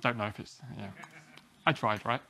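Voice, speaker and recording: A man, giving a relaxed, self-deprecating technical talk at a small meetup, often restarting his sentences. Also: on the low side, moderate pace. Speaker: conference speaker. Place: small meeting room, indoors.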